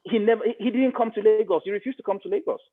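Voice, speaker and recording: A man's voice: high voice, racing, phone-quality sound. A middle-aged Nigerian man giving emphatic political commentary as an interview guest, repeating phrases for stress. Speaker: interview guest, media expert.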